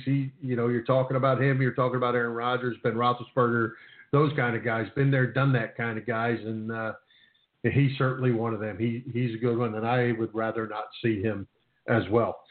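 A man's voice: mid-range voice, flat delivery, fairly quick. Man on roomy, phone-like sports radio. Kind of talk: casual sports discussion. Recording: roomy, thin phone-like sound.

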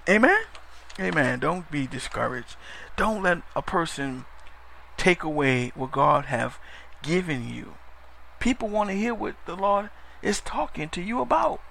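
A man's voice: fairly high pitch, animated, creaky, pushed and bright, slight background noise. A man preaching a broadcast sermon, emphatic and repetitive, asking "Amen?" and sometimes stumbling over words or speeding up. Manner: emphatic, repeats phrases, call-and-response "Amen?"; stumbles over words, drifts into fast talk.

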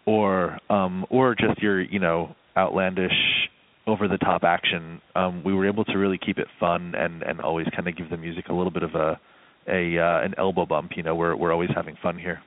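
A low-pitched man comes through a muffled, phone-like line. He talks casually as an interview guest, explaining things in a loose, meandering way with plenty of "you know". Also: level tone, fairly quick, no echo. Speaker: film and game composer, interview guest.

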